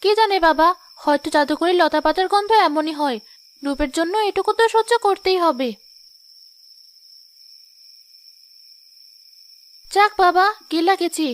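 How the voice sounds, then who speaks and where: Woman playing a very high-pitched, theatrical young village wife. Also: a little fast. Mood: eager, scheming. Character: vain, gullible young village wife, desperate to be fair-skinned. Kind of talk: Bengali cartoon moral drama voice acting.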